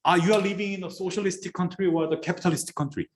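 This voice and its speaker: A man's voice: high-pitched, fairly even intonation, fast. A man with a Korean accent, lecturing as a business professor and pressing students with rhetorical questions. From South Korea. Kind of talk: classroom lecture. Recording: echoey classroom from far off.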